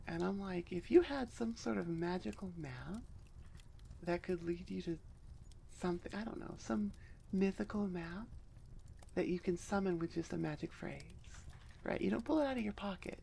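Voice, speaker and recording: A woman's voice: on the low side, creaky, mellow, a little hiss or hum. A woman audio roleplay creator chatting casually to her listeners up close on the mic, in a rambling, wry, self-deprecating way. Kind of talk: casual outro chat to listeners. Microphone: close microphone.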